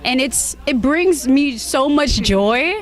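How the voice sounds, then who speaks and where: High-voiced, bubbly woman chatting in an interview. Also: lively intonation, slow. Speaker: cosplayer being interviewed. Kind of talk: casual interview chat at a convention.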